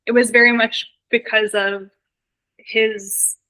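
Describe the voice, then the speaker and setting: A woman's voice: a little high, little pitch movement, slow, bright, forceful tone, low-quality recording. A woman hosting a casual podcast chat, talking eagerly with lots of "like"s and restarts. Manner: eager, chatty, many likes and restarts. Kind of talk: casual conversation.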